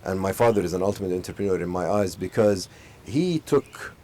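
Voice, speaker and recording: A middle-aged man from Saudi Arabia, a company chief, telling his story in a conversational interview with many fillers and restarts. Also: low voice. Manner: fast, animated storytelling with frequent fillers and restarts. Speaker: company CEO, interview guest.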